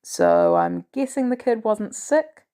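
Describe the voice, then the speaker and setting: Female reader as wisecracking male tech hero in a story, smitten, flippant, relaxed timbre. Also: medium pitch, slow, clean tone. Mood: casual. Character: witty, lovesick male tech billionaire hero. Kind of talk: storybook character dialogue.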